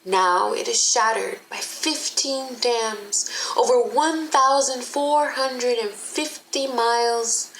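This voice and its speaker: Woman, higher voice, dramatic poem recital, very slow and measured, faint background noise. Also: a bit bright, low-quality recording. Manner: deliberate, measured phrasing with pauses. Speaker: performer reading a poem. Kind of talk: dramatic poetry reading.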